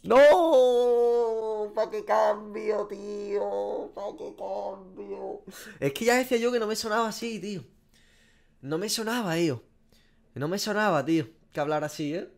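A man's voice: high-pitched, unhurried, creaky. A young man from Spain with a Spanish accent, reacting casually and swearing a lot while guessing game skins. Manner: swears often, animated, self-mocking. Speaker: gaming streamer. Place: small room indoors.

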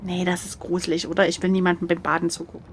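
A woman chatting casually and expressively as she plays through an adventure gamebook, quick to exclaim. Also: lowish voice, little pitch movement, a little hiss or hum. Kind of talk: casual chat while playing.

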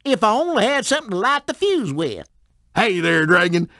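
A man's voice: sits high, very animated, moderate pace, pushed and bright, no room sound, exaggerated voice. A man voicing a simple, good-natured video game farmer with a folksy rural American accent. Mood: folksy, puzzled. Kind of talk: video game character dialogue.

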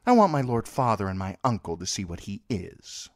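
A man's voice: lowish voice, sing-song, normal speed, no room sound, studio quality, pitched above the performer's own voice. A man voicing a proud, scornful queen mother who speaks with haughty disdain.

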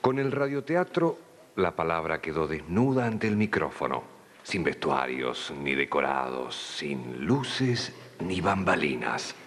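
Super deep argentine guy doing dramatic narration, voice moves a lot, slight noise. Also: sing-song, unhurried, fairly bright tone. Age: middle-aged.

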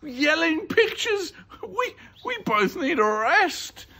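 A man voicing a self-important, jittery male art thief in a storybook, with a drawn-out, grandiose delivery. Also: sits high, huge pitch swings, vocal fry, sharp tone, voice pushed up, full character voice. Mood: alarmed.

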